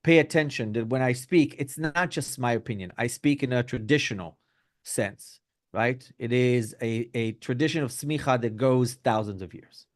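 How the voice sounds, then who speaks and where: A middle-aged rabbi from Belgium and Israel answering interview questions conversationally, hedging with lots of fillers and tag questions. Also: male voice, mid-range voice, moderate pace, dry recording, clean studio sound. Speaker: rabbi, podcast guest.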